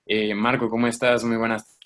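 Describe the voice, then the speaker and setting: A man's voice: mid-range voice, completely flat delivery, normal speed, a bit bright. A man co-hosting an online interview, formal and welcoming in his greetings. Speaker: co-host, interviewer.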